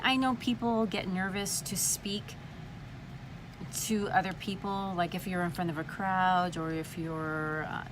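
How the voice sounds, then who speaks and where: A woman from California with a slight lisp, talking casually to camera in a chatty, dramatic way and swearing freely. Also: fairly low pitch. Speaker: vlogger. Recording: noisy background.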